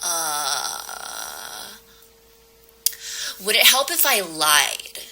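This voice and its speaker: A woman's voice: low-pitched, wildly swooping pitch, very slow, gravelly, pushed and bright, a little hiss or hum, full character voice, rough recording. A woman playing a panicked, sarcastic young woman haunted by a curse in a horror audio play. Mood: sheepish, defensive. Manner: flustered, sarcastic, nervous laughter. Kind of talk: audio play acting, naturalistic horror-comedy dialogue.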